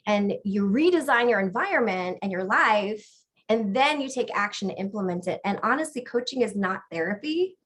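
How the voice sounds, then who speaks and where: A middle-aged woman co-hosting an online presentation, explaining ideas in a measured, phrase-by-phrase way. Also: mid-range voice. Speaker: co-host and business coach.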